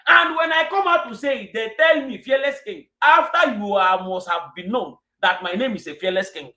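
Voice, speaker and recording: A man's voice: extremely high pitch, pushed and bright, echoey room. A man with a Nigerian Igbo accent giving an angry, scolding monologue to his followers. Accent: Nigerian Igbo English. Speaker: self-styled king addressing followers. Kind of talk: fiery monologue.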